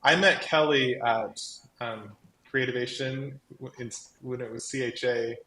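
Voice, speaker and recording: A man with a carrying, mid-pitched voice talks in a chatty, effusive way, telling his story casually as a guest. His words are loosely strung with "like". The room adds echo, and there is faint noise behind him. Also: fairly even intonation, a little slow, pushed and bright. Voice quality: voice that carries. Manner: chatty, effusive, peppers talk with "like". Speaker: guest, crafting company co-founder. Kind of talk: casual live chat, personal storytelling.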